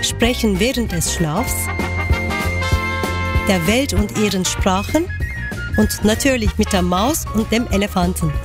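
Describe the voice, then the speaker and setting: A woman whose first language is Turkish reads a show opener in an even, announcer-like way. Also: medium pitch, measured pace, long pauses. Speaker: team member reading the show opener. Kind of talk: scripted reading of a program opener. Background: loud music.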